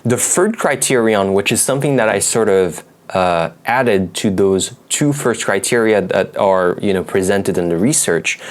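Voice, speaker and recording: A man's voice: on the low side, unhurried, hardly any pauses. A young man, not a native English speaker, explaining language learning methods in loose conversational talk with many fillers and restarts. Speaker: language learning video host. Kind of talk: unscripted explanatory talk to camera.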